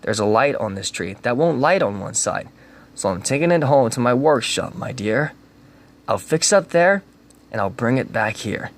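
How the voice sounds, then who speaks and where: A man reading aloud and voicing a sly, scheming, grumpy male creature who hates Christmas, in a low, hushed, oily manner. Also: lively intonation, normal speed. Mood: wheedling.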